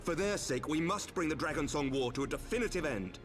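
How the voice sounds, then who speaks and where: A man playing an earnest noble leader and knight in a fantasy video game, speaking in a measured, formal way. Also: on the high side, animated, close to an everyday voice. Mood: solemn resolve. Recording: game dialogue under clear background music.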